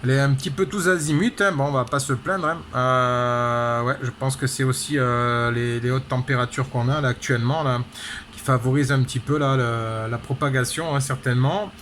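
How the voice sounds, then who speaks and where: Male CB operator, mid-pitched, casual and rambling, repetitive verbal tags, light background noise. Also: moderate pace, a bit bright. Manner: rambling, full of repeated tag words. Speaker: amateur CB radio operator. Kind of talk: casual radio contact chat.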